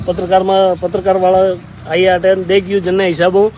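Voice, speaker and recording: A man's voice: high voice, flat delivery, moderate pace, warm tone. A man with a rural Rajasthani accent talking informally to a reporter outdoors. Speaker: local villager interviewed. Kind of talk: informal dialect talk to a reporter. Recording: noisy outdoor village interview.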